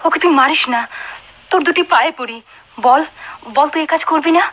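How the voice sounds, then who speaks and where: An actress in a melodramatic Bengali radio play playing a submissive, long-suffering wife, earnest and imploring. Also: female voice, high-pitched, fairly quick, fairly bright tone, muffled recording. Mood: desperate pleading.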